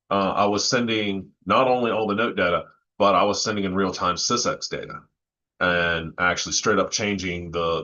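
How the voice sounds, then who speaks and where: Low, bright-voiced man on dull audio. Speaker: panelist in music technology discussion. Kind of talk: casual technical talk.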